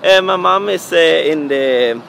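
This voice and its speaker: A young man from Denmark, a DJ and music producer, answering interview questions in halting, filler-heavy English with a Danish accent. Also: fairly high pitch, gravelly, a bit bright, a little hiss or hum. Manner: halting non-native English, frequent fillers.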